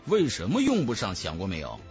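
A male narrator acts out a self-assured young hero of a fantasy audiobook. He speaks in a cool, measured, knowing manner, keeping things low-key. Faint music plays beneath the voice. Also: huge pitch swings. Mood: probing. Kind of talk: fantasy audiobook character acting.

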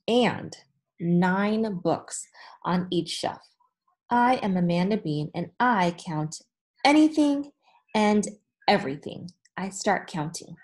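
A woman in her thirties, a teacher reading a storybook aloud to children with deliberate phrasing and expressive emphasis. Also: mid-range voice. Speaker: elementary school teacher. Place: small indoor room with whiteboard.

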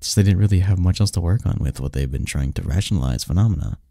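Snarky bookish male research assistant played by a man for ASMR roleplay; deep, gravelly, wry, fond, chuckling under his cynicism. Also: lively intonation, dark tone, no room sound, studio quality, full character voice. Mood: wry, lecturing. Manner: wry, halting and chuckling, drifts into bookish lecturing. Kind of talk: intimate ASMR audio roleplay.

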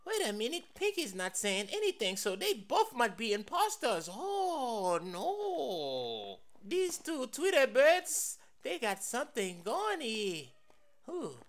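A woman voicing a whiny, sassy crewmate in an animated game parody. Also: a little high, slow, heavily acted. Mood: suspicious.

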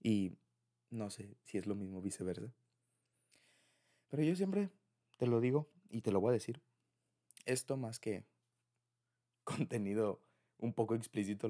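A man's voice: on the low side, warm tone. A man with a Mexican accent speaking in an informal, affectionate, rambling way, as in a personal ASMR boyfriend roleplay.

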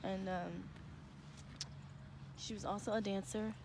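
A woman in her twenties speaks casually as a vlogger in the open air. Her pitch is average for a woman, and the handheld phone picks up clear ambient noise around her. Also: little pitch movement, slow pace. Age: 20s. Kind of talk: casual vlog chat. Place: outdoors in a cemetery. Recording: noisy outdoor phone recording.

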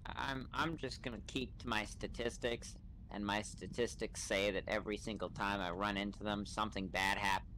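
Bright, lowish-voiced man role-playing. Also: steady tone, moderate pace, slight background noise. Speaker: role-player in an online game. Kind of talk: casual in-character role-play chat. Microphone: game voice chat microphone.

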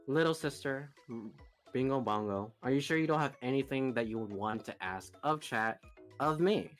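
A man's voice: mid-range voice, normal speed, soft background music. A man in his thirties chatting casually as a guest on a stream, teasing the others and rambling with lots of fillers and mock exasperation. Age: 30s. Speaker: guest interviewer on a live stream. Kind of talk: casual chat over a voice call.

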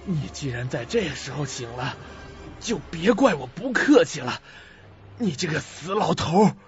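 Frantic, high-pitched, unraveling man. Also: big pitch swings, unhurried. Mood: panicked, frantic. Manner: agitated, muttering to himself. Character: terrified sleepless man unraveling into madness, spiteful. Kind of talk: suspense radio drama acting. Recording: dull lo-fi recording with loud-mixed music.

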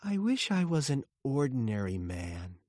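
An eerily calm captive villain, played by a male reader in an audiobook. He talks slowly and wistfully, never hurried. The sound is very dry. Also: medium pitch, no room sound, pitched above the performer's own voice.

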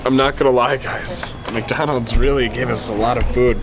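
A man's voice: mid-range voice, steady tone, normal speed, crowd noise. A young man chatting casually and enthusiastically to camera as a vlogger, in an informal way. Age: young adult. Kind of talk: casual vlog chat to camera. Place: ski resort outdoors, restaurant and parked car. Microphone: camera at arm's length. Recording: clear background noise, handheld vlog camera.

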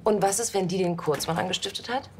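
An actress playing a businesslike police colleague in a television crime comedy. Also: female voice, mid-range voice, quick pace, slight background noise, close to an everyday voice.

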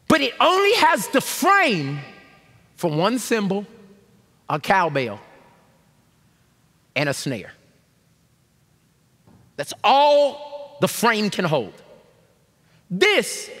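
A man in his thirties preaching a sermon from a large church stage, animated and shouting call-and-response lines to the congregation. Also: sits high. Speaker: pastor. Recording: long reverb of a large hall.

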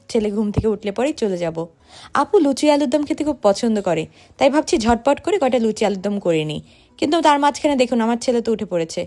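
Fast, lively woman narrating a vlog. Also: a little high. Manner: chatty, addressing viewers directly. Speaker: family vlogger and narrator. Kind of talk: scripted vlog voice-over.

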